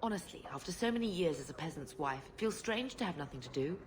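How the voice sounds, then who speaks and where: A woman voicing a genteel, wistful middle-aged mother in a video game, speaking in a gentle, reflective way. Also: sits low, animated, fast talker, slight background noise. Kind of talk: video game dialogue acting.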